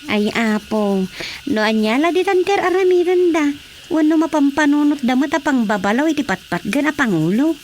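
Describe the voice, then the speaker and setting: A woman's voice: sits high, animated, normal speed, darkish tone. An actress playing a curious, concerned woman trading news in a radio drama comedy. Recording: background ambience noise.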